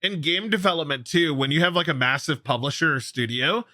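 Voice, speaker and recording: A man's voice: a little high, brisk, bright, forceful tone, no echo, studio quality. A man hosting a gaming news show, giving casual commentary in a talkative, explanatory, informal way.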